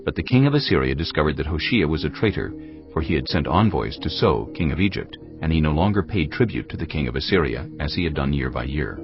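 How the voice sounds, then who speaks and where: A male narrator reading scripture in a measured, grave storytelling manner. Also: very deep voice, animated. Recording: dull lo-fi sound over cinematic music. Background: cinematic music.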